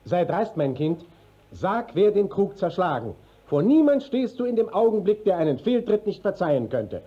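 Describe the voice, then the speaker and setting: Stern court inspector, highish male voice, mellow tone, formal, authoritative, muffled vintage radio play. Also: big pitch swings, moderate pace, breathy edge, exaggerated voice. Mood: probing. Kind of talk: classical German stage-verse radio acting, 1950s.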